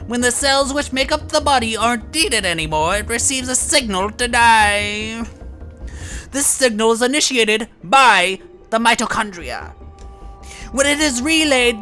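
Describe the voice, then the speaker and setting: A woman plays an obsessive scientist in a video game fan dub. The voice is high and bright, with music behind it. The delivery is deliberate, pompous and condescending, as if lecturing lesser minds with sinister reverence.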